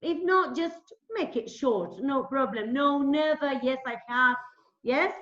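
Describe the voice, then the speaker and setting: A woman's voice: sits high, slow, fairly bright tone. A woman hosting an informal interview, speaking hesitantly in simple phrases and repeating herself. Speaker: interviewer, English teacher. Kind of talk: informal interview over video call. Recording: dull, echoey call audio.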